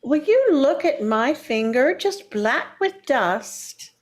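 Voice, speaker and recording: A woman's voice: high-pitched, sing-song, takes it slowly, heavily acted. An actress playing a condescending older mother-in-law, sugary and backhanded, in vintage radio sitcom style. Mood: patronizing.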